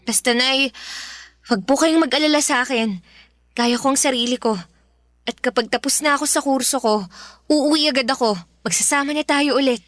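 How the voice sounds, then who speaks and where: A woman's voice: sits high, moderate pace, pauses often, a bit bright, no echo, studio quality. A woman playing a dutiful, hurt young student daughter in a Filipino radio drama. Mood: tender, reassuring.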